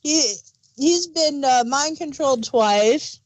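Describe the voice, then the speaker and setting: Slow-paced woman in casual review chat. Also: sits high. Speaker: audio drama review podcast host.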